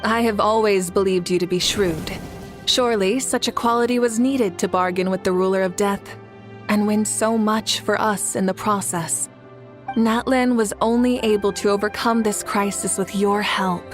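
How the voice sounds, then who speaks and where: An actress playing a noble, resolute warrior leader in a video game, speaking formally and earnestly, in a measured way. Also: female voice, medium pitch, fairly even intonation, natural voice. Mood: respectful, sincere. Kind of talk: dramatic video game voice acting. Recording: game music behind the voice.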